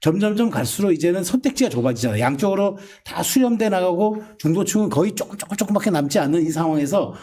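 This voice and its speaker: A man talking as a political commentator on a panel show, animated and quick, often restarting his sentences. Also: high voice. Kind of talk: casual political panel talk.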